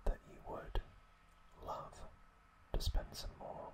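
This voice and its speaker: Slow, whispering male ASMR host. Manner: gentle, unhurried, softly spoken direct address to listeners.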